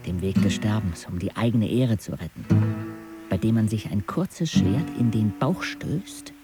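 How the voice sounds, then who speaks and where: A man's voice: on the low side, lively intonation, measured pace, over loud music. An actor playing a sardonic paralysed teenage boy, narrating his life intimately in a dry, laconic way in a radio drama. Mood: observant, quiet. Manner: dry, laconic, clipped phrases.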